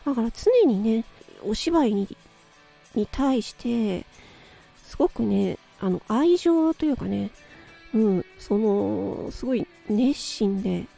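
A woman's voice: a little high, animated, slow pace, creaky, mellow, faint music behind. A woman hosting her own chatty audio show, speaking in an effusive, polite and emphatic way. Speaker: host of a personal audio show. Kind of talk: chatty solo audio broadcast, birthday tribute.

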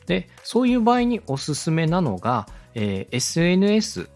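A man's voice: fairly high pitch, wildly swooping pitch, moderate pace, a little mellow, faint music behind. A man giving a polite, step-by-step explanatory talk as a podcast host and instructor. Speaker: podcast host and radio director teaching podcast making.

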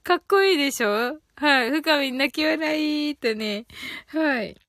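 A woman's voice: high-pitched, animated, unhurried, fairly bright tone, no room sound, studio quality. A woman hosting a casual live audio stream, chatting playfully with listeners, giggly and often repeating phrases with amusement. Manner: giggly, breaks into frequent laughter.

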